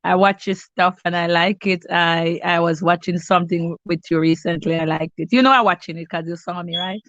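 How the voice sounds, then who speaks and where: A woman's voice: fairly low pitch, steady tone, a little fast, fairly bright tone, dry recording, muffled recording. A middle-aged Jamaican woman hosting a cooking live stream, chatting casually with viewers by name. She sounds stuffy and congested and often restarts her sentences. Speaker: cooking channel host.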